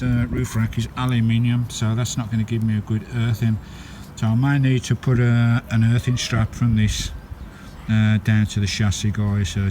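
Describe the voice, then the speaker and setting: A British man narrating a casual hands-on vlog outdoors, chatting informally to his viewers. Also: fairly low pitch. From United Kingdom. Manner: chatty, informal, often addressing viewers as guys. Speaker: vlogger. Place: outdoors on and around a campervan. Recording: windy handheld recording with loud background noise. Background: wind and loud noise.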